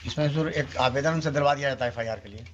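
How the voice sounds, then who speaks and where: Indian male advocate, medium pitch, rapid and respectful delivery to the bench, dull noisy recording. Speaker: state lawyer addressing a judge. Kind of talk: deferential courtroom submissions. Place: courtroom. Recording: muffled, noisy courtroom audio with clicks.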